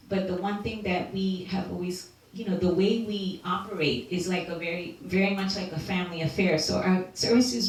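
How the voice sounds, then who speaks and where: Woman speaker, low-ish voice, halting delivery, recorded far off in a very echoey hall. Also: a little mellow. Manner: hesitant, many fillers and restarts. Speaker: community health speaker. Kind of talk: informal talk to doctors.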